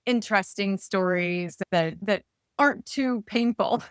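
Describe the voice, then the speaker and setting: A woman with a smooth, clear voice chats casually as an interview guest. She is an author who talks with effusive enthusiasm. The sound is dry and close, with no room echo. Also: mid-range voice, a little slow.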